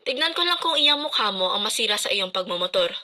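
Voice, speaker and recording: A woman's voice: mid-range voice, animated, quick pace, sharp tone. A woman playing a stern, grumpy middle-aged mother in an amateur radio drama, with a Filipino accent. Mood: scolding. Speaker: student radio drama actor.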